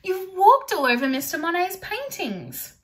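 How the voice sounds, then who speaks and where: An eager, impulsive little storybook girl, voiced by a woman reading aloud. Her delivery is expressive and exclaiming, lifted well up in pitch and pushed out loudly. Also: measured pace, full character voice. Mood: scolding, alarmed.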